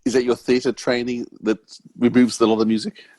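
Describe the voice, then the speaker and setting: A man's voice: medium pitch, little pitch movement, brisk, warm tone, dry recording. A man hosting a casual podcast interview, feeling his way into his questions.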